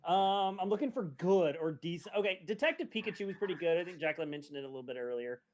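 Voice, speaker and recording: Male podcast host, high-voiced and expressive, chatty, restarts his own sentences. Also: fast, a little hiss or hum. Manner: animated, self-interrupting. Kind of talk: casual podcast chat.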